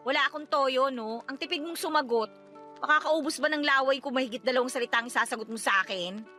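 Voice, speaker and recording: A woman's voice: sits high, quick pace, bright, forceful tone, faint music behind. A woman with a Filipino accent playing a talkative, stubborn wife in her thirties in a radio drama, chatty and nagging. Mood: annoyed, questioning.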